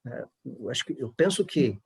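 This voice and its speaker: Husky, creaky-voiced Brazilian man, fairly high-pitched. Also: sing-song, moderate pace. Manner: discursive, often seeking agreement with tags. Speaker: astrologer and panel speaker. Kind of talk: informal panel discussion.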